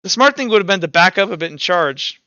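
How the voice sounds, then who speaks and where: High-voiced man running a tabletop game. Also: animated, fast talker, pushed and bright, smooth, no echo, highs cut off. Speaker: game master. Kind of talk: tabletop roleplay narration and casual rules talk.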